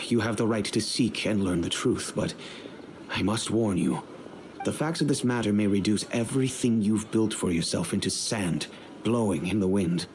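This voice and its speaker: A grave, low-voiced family patriarch. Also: male voice, slight background noise. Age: middle-aged. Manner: measured, solemn, storytelling. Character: grave wealthy middle-aged father, family head. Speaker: head of a noble family. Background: game music.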